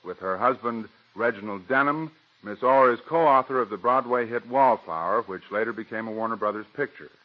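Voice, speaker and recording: A dull vintage radio recording of an American man with a mid-pitched voice. He reads a formal scripted introduction as a 1940s drama host. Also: fairly quick. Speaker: director and host.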